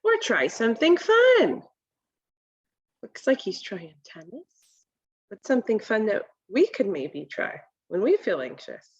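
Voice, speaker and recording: Slow, higher-pitched woman reading to children. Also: wildly swooping pitch, slightly rough, lo-fi sound. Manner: deliberate, instructional, asks the listener questions. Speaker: read-aloud presenter for children. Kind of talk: children's picture book read-aloud with commentary. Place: home kitchen, indoors.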